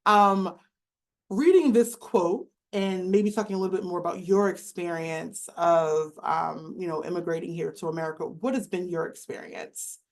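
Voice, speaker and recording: A woman's voice: lowish voice, steady tone, moderate pace. Conversational woman moderating a panel. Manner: loose and conversational, many fillers. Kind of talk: casual panel discussion.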